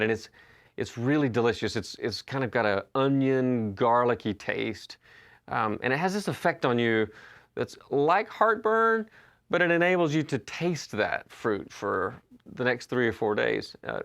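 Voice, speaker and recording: A man, telling stories in a relaxed, conversational sermon. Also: medium pitch, vocal fry. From Western North Carolina. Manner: conversational storytelling with frequent uh and restarts. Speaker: pastor. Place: church sanctuary.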